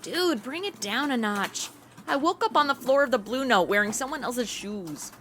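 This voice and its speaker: A tipsy, sardonic woman played by an actress in a comedy audio drama. Her voice is high and bright with animated swings in pitch, and her delivery is blunt and flippant. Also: moderate pace, slight background noise.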